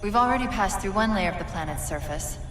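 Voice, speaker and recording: A woman playing a quick-witted, wry female AI companion in a video game cutscene, articulate and expressive. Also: medium pitch, big-room sound, slight background noise, natural voice, rough recording. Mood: wry, focused.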